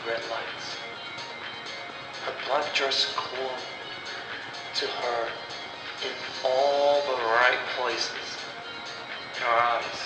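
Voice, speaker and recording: A man narrating a scripted horror story as the storyteller, in a deliberate, dramatic way with pauses. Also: mid-range voice. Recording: echoey, distant-sounding voice over music. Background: music.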